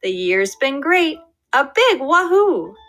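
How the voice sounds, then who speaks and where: A woman's voice: high voice, very animated, slow pace, a bit bright. A young adult woman, a first grade teacher, reading a picture book aloud to her class and talking to her students.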